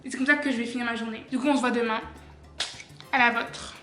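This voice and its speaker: A woman's voice: fairly high pitch, fairly even intonation, quick pace, pushed and bright, faint music behind. A young woman from Belgium vlogging casually at home, tired and chatty. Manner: chatty, tired, says genre a lot. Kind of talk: casual vlog chat.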